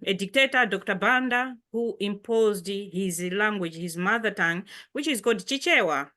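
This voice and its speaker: A woman from Malawi, whose first language is Chitumbuka, speaks at a panel conversation. She explains things at length in a measured, storytelling way. Her pitch sits in the middle. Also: fairly bright tone. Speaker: poet and former lecturer.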